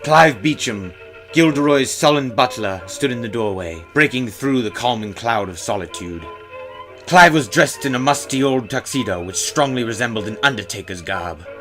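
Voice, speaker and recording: A man's voice: medium pitch, expressive, normal speed, fairly bright tone. A man reads a story as a dramatic narrator. His mid-range voice carries ornate phrasing with theatrical care, over a faint bed of music.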